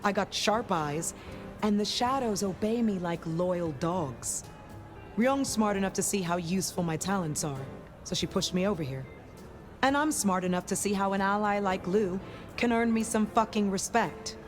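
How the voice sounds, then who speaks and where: A woman's voice: mid-range voice, lively intonation, moderate pace, soft background music, natural voice. An actress voicing a tough, cocky female vampire enforcer in a video game, brash and streetwise. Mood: boastful.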